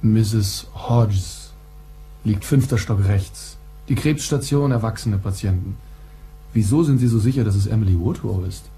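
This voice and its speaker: An actor playing an irritable, worried young doctor in a radio crime drama, speaking with exasperated emphasis. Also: male voice, on the low side, a little slow, warm tone, slight background noise.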